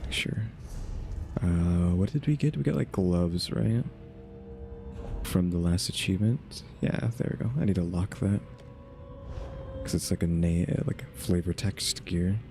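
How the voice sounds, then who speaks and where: A man with a low voice gives casual commentary as a game streamer. He is low-key and thinks aloud, with music playing clearly behind him. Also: a little slow, pauses often, dark tone. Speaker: gaming streamer.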